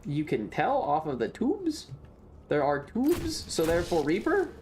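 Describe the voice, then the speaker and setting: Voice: medium pitch, huge pitch swings, a little slow, darkish tone, slight background noise. A streamer from the southern United States giving casual video game commentary in a playful, mock-serious, rambling way. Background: game music.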